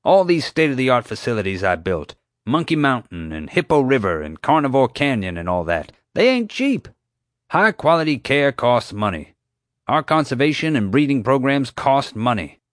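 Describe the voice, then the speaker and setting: A man's voice: medium pitch, very animated, normal speed, no echo, clean studio sound, pitched above the performer's own voice, close to an everyday voice. A man voicing a blustering middle-aged male park owner who talks in a folksy, pushy, defensive way.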